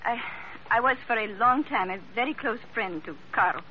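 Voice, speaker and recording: A woman's voice: fairly high pitch, expressive, moderate pace, dull audio, slight background noise, close to an everyday voice. Lady acting schemy femme fatale, spanish accent, formal dramatic phrasing, old radio show, muffly. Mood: mournful, pleading. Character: sultry, scheming foreign woman, outwardly grieving and frightened.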